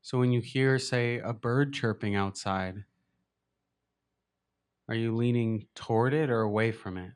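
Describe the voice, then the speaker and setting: A man leading a guided meditation as a teacher, speaking gently and unhurriedly with long pauses. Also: medium pitch, smooth.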